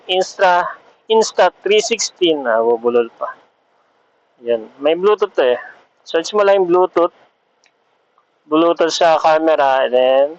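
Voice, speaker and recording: A man's voice: on the high side, no room sound. A man chatting casually and rambling as he thinks aloud, in the style of a motovlog. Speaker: vlogger. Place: outdoors on the road in traffic. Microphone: motorcycle helmet intercom over Bluetooth. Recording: dull lo-fi Bluetooth intercom mic.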